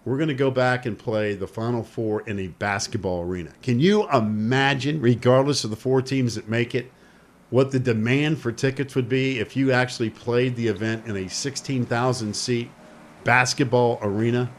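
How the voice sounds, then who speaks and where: A man's voice: medium pitch, normal speed. Chatty man hosting sports talk radio. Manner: chatty, emphatic, repeats himself.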